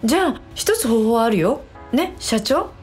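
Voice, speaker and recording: Cheeky young woman played by an actress, very animated. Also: on the high side, unhurried, soft background music. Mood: sly. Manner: teasing, casual, blunt. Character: cheeky, blunt young female marriage agency staffer. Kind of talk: radio drama acting.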